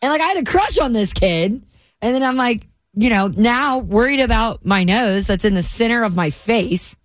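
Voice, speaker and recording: Deep-voiced, animated woman co-host. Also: moderate pace, no room sound, clean studio sound. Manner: animated storyteller, giggly. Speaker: radio co-host. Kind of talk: morning radio storytelling.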